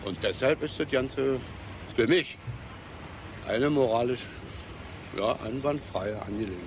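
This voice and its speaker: An actor in a radio play voicing a self-justifying amateur cameraman who talks haltingly and keeps asking for agreement. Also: medium pitch, background noise, lightly acted. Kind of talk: radio play acting as interviewee.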